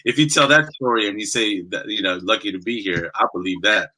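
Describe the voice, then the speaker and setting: A man hosting a casual interview, asking questions in a relaxed, rambling way. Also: fairly low pitch, brisk, low-quality recording. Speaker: host.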